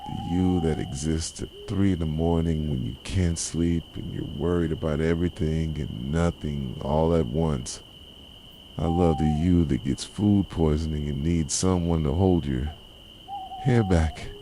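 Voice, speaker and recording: A man playing a possessive, wounded yandere boyfriend in an intense, pleading ASMR roleplay monologue, with a soft voice. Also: rumbling low, a little slow. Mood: bitter, wounded. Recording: noisy track with night crickets and owls. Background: night ambience of crickets, owls and insects.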